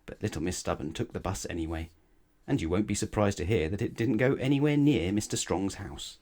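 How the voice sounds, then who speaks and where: A man reading a children's bedtime story aloud in an expressive storytelling style. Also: low-pitched, a little fast. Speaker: storybook reader.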